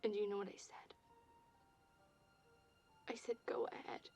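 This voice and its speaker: A man voicing a breathy, uncaring young woman who has just walked out on a partner. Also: sits high, flat delivery, measured pace, a little mellow, lo-fi sound, soft background music, voice pushed up, exaggerated voice. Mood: mock whisper. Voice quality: whispery, breathy.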